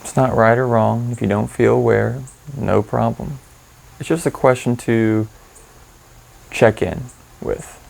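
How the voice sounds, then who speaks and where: A man leading a guided meditation in an informal, candid and gently instructive way. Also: mid-range voice, fairly even intonation, unhurried, vocal fry, low-quality recording.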